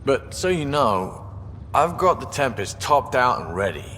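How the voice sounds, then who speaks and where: Breezy, very animated spaceship crewman. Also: mid-range voice, a little slow. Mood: playful, scheming. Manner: joking, casual. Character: breezy, cocky male spaceship crewman, boyishly cheerful. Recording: long reverb, faint ambient noise.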